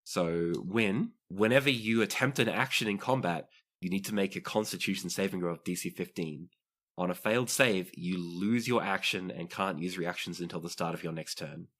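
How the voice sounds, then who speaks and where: A man's voice: low voice, moderate pace, a bit bright. A man narrating a tabletop roleplaying game as game master, describing scenes and explaining rules to players.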